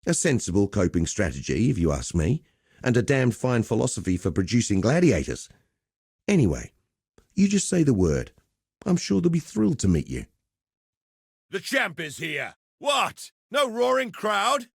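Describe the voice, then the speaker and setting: An actor playing a cheerful, rough-and-ready arena fight master in a video game, chatty and blokey. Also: male voice, medium pitch, wildly swooping pitch, moderate pace, dry recording, studio quality, full character voice. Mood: jovial, encouraging, then cocky. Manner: chatty, cocky, blokey storytelling with colloquial swearing. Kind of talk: video game character dialogue.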